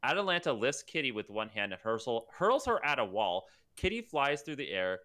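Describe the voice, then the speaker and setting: A man's voice: mid-range voice, big pitch swings, normal speed, sharp tone. A man co-hosting a live comedic table read, reading stage directions aloud and stopping to joke. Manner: reads stage directions aloud, breaking off for jokes. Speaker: podcast co-host.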